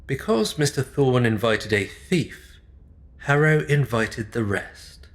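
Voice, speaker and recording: An actor playing a solemn, watchful manor caretaker in an audio drama, speaking in measured, ominous understatement. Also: male voice, medium pitch. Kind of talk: supernatural comedy audio drama acting.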